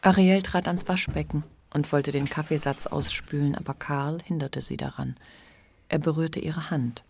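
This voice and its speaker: A woman narrating a radio drama in a measured, observant literary storytelling manner. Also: low voice, fairly even intonation, creaky, darkish tone, slightly rough, heavily acted.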